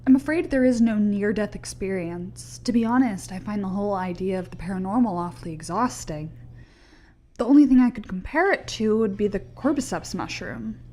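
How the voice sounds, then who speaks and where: Woman playing a sensible young scientist, medium pitch. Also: a little fast, warm tone, close to an everyday voice. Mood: skeptical, matter-of-fact. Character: young female science graduate student, sensible skeptic. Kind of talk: audio drama acting.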